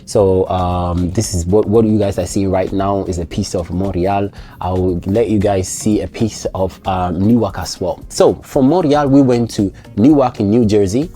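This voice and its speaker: A man's voice: sits low, steady tone, normal speed, hardly any pauses, warm tone. A man vlogging about his trip, recounting it animatedly with many restarts and self-corrections. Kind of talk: casual travel storytelling.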